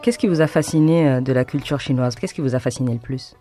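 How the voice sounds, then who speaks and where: Male interviewer, measured questions, music loud underneath. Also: medium pitch. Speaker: radio host, interviewer. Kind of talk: radio interview and scripted intro. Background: music under intro.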